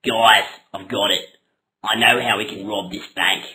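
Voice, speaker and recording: A man's voice: fairly low pitch, fairly even intonation, a little slow, sharp tone, pitched below the performer's own voice, low-quality recording. A man voicing Frederick's part, a crude, mock-earnest clownish robber in a comic puppet show. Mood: blunt, excited.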